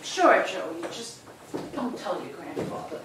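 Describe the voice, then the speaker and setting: A woman's voice: lowish voice, big pitch swings, takes it slowly. An older woman playing a fretful, frugal grandmother in a live comic stage play. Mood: resigned. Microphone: distant camera microphone in the audience. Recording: very noisy, echoey, distant stage recording.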